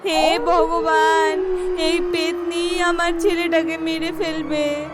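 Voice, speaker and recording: A woman plays a distraught village mother in a horror cartoon. Her high voice wails and pleads slowly on a narrow, monotone line, with music behind. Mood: panicked pleading. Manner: wailing, pleading.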